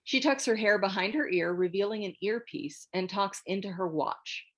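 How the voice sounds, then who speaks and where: A woman's voice: medium pitch, moderate pace, a bit bright. Female narrator for a script table read: mid-range voice, scripted delivery.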